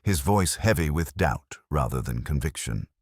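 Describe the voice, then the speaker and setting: A man narrating a literary first-person detective drama as a melancholy, world-weary investigator, in a measured, brooding, solemn way. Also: a bass voice, lively intonation, a little mellow, slightly rough, no room sound, studio quality.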